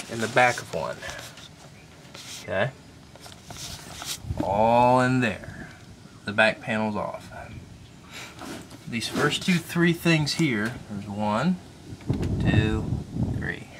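A man's voice: mid-range voice, a little hiss or hum. Nasal young man filming outdoors. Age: young adult. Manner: casual and chatty, explaining hands-on while handling gear. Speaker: DIY video maker. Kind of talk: casual how-to vlog. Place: outdoors on a porch. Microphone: handheld camera mic.

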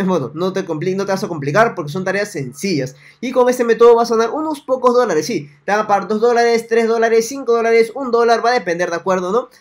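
A young man in a small room, quickly pitching a way to earn money online in a repetitive, salesy tutorial straight to the viewer. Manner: fast, salesy, repetitive, addresses viewer directly. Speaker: online money-making vlogger. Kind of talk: screen-recorded tutorial with webcam.

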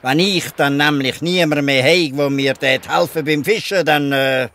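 A man playing a shrewd, gruff old fisherman in a Swiss German dialect radio play, questioning people with pointed irony. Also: fairly high pitch, normal speed, pushed and bright. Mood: chatty. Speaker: actor in an audio drama.

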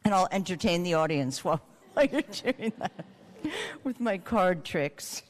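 A woman in her forties moderating a conference panel with a handheld mic, lively and joking between questions. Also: lowish voice, a little slow. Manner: lively, chatty, joking asides. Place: large conference hall with audience. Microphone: handheld microphone. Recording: long hall reverb.

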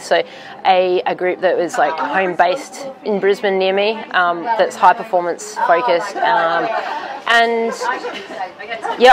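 A woman talks about her training, pausing and restarting often. Her voice sits a little low. She stands outdoors by a street, with crowd chatter heard clearly behind. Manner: hesitant, many ums and restarts. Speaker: professional triathlete. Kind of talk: candid interview answers.

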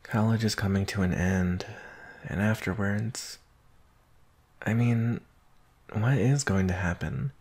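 A young man playing an anxious, devoted werewolf in an intimate ASMR romantic roleplay, speaking hesitantly in a husky, breathy voice. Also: lowish voice, takes it slowly, long pauses, darkish tone. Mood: anxious, wistful. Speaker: roleplay voice actor.

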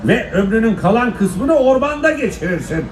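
A man's voice: extremely high pitch, very animated, moderate pace. A man playing a short, grumpy, bossy gang leader in a theatrical children's fairy-tale stage show. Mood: threatening. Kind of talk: children's stage musical acting. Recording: echoey hall stage sound with music.

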